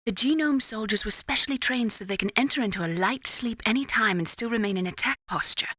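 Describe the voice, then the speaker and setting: Female mission support for a game: earnest, explanatory, clear-cut briefing, bright tone, dry studio sound. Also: on the high side, brisk, no echo. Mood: informative. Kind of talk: scripted video game radio briefing.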